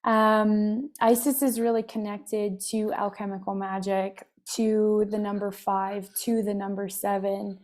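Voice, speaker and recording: Woman with a scratchy voice, talks slow and flat, soft rambling meditation chat. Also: medium pitch. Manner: soft, meandering, many fillers. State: scratchy voice with a cough. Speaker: wellness meditation host. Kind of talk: casual spiritual talk.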